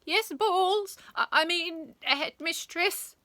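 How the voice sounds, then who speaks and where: A woman voicing a pompous, flustered male schoolteacher in a storybook, booming and repeating words frantically. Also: sing-song, extremely slow, a bit bright, dry recording, full character voice.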